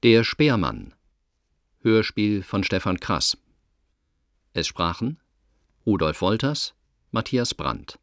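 A man's voice: on the low side, big pitch swings, slow, pauses often, fairly bright tone, no room sound. A man announcing the credits of a radio play from a script.

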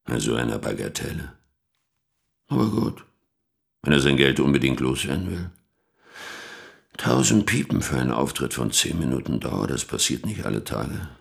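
An actor playing a smug, condescending lawyer with a gravelly voice in a dramatic audio play monologue. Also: male voice, extremely low pitch, fairly bright tone, heavily acted. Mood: scornful. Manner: condescending, self-satisfied. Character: smug, vain male lawyer with a convertible.

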